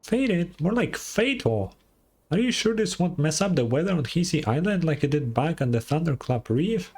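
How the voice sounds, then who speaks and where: A man's voice: a little high, big pitch swings, moderate pace, a little mellow, breathy edge, pitched above the performer's own voice. A man voicing a small, feisty female fairy companion in a video game, skeptical and sarcastic in manner. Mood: incredulous, sarcastic. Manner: indignant, sarcastic retorts.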